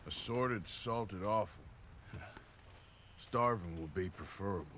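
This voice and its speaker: A man playing a gruff, sardonic outlaw in a video game, with a dry rural Western American drawl. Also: deep voice, takes it slowly, fairly bright tone. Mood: dry sarcasm. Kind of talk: video game cutscene acting. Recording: very noisy background.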